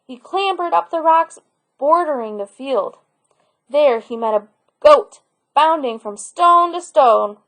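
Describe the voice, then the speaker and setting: A woman reads a children's picture book aloud as a storyteller. Her voice is high, and she takes an unhurried pace with expressive narration. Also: rough recording.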